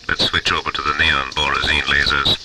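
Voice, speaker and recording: An actor playing a calm, avuncular scientist in a science fiction radio drama. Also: male voice, a bass voice, a little fast, background noise. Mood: businesslike. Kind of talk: sci-fi radio drama acting. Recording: loud score behind voice. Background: music.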